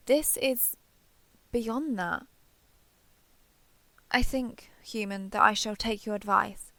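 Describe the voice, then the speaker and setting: A woman playing an ancient, proud female dragon in human form in an audio roleplay, speaking in formal, measured, archaic phrasing. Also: fairly high pitch, creaky, a bit bright, no room sound. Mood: astonished, skeptical. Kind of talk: scripted audio roleplay acting.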